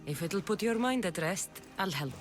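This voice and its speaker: A woman's voice: medium pitch, expressive, normal speed, music in the background, lightly acted. A woman playing a confident, skeptical mercenary heroine in a video game, dry and teasing. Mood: reassuring. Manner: dry, teasing, self-assured. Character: wry, confident, skeptical female ancient Greek mercenary heroine. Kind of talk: dramatic video game acting.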